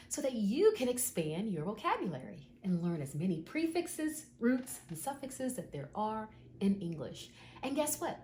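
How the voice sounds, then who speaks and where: A woman teacher explaining word roots slowly and patiently, thinking aloud and repeating the words she explains. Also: medium pitch, darkish tone, reverberant, a little hiss or hum. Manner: slow, patient, drawn-out explaining.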